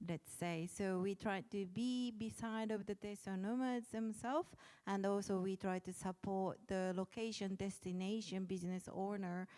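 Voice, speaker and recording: Female panelist from Japan; clear voice; halting, word-searching delivery. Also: mid-range voice, steady tone, warm tone. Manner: hesitant, searching for words. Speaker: association director, panelist. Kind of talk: panel self-introduction.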